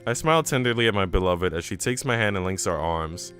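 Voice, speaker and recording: A man's voice: deep voice, animated, a little fast, a bit bright. A man reading a visual novel aloud as an earnest, reflective young woman who hosts a radio show. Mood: tender. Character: earnest, self-doubting young woman radio host in love. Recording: music behind the voice.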